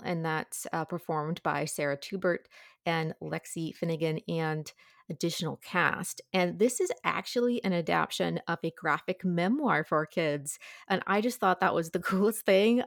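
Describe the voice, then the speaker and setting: Lowish, enthusiastic woman chatting about books. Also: no echo, clean studio sound. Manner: enthusiastic, informal. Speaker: audiobook reviewer guest. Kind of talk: casual conversational book discussion.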